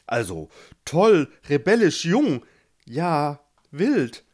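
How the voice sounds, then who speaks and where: High sing-song giant toad lady in a cave, kind but blunt, a man doing her. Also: sing-song, drawn-out words, a little mellow, exaggerated voice. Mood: awkward. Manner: chanting rhymes, chatty, hesitant.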